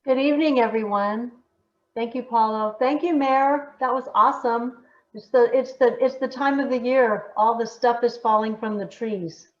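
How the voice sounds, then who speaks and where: A woman's voice: a little high, steady tone, moderate pace. A woman, a nonprofit director, giving an informal thank-you speech over an echoey, muffled video call.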